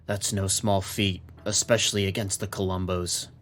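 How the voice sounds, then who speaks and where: Gruff, low-voiced police captain. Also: male voice, level tone, normal speed, a bit bright. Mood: gruff, impatient. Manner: curt, authoritative. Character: gruff, commanding, sardonic male police captain. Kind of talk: noir audio drama dialogue.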